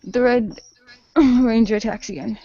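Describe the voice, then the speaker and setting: A woman narrating an improvised role-play game, haltingly making it up as she goes. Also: on the high side, mellow, no room sound, highs cut off.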